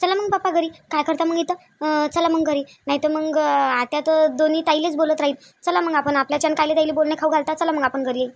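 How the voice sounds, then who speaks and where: A woman's voice: sits high, steady tone, fairly quick, hardly any pauses, no room sound, muffled recording. A woman playing a naive, plaintive young wife in a family drama, with a Varhadi Marathi accent. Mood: alarmed. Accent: Varhadi Marathi of Vidarbha. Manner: plaintive, innocent. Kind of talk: amateur family comedy-drama dialogue.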